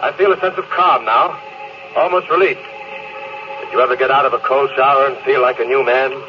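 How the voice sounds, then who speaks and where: Casting: male actor for doomed starship captain, regretful, reflective, medium-pitched; dulled old-time radio sound. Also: moderate pace, over music, natural voice. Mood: serene wonder. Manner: brooding, confessional, wistful. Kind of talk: 1950s radio drama acting. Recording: noisy muffled vintage radio recording.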